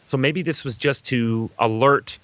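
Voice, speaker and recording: A man's voice: mid-range voice, a little slow, fairly bright tone, no echo, lo-fi sound. An American man giving casual, sarcastic, joking commentary on a film as a comedy riffer.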